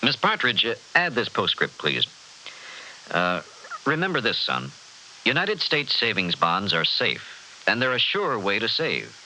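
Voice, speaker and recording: A man's voice: on the low side, big pitch swings, measured pace, bright, forceful tone, heavily acted, rough recording. A man playing a polite, businesslike boss who dictates hesitantly in a 1960s radio advertisement. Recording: faintly hissy old radio recording.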